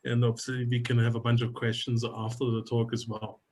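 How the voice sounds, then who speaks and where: A man with a heavy German accent, speaking English as a second language, delivers a technical talk as a guest lecturer. His pitch sits in an ordinary middle range for a man. He explains step by step in a measured way, with frequent hesitation sounds. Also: completely flat delivery, darkish tone, slight background noise. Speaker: guest lecturer, geology professor.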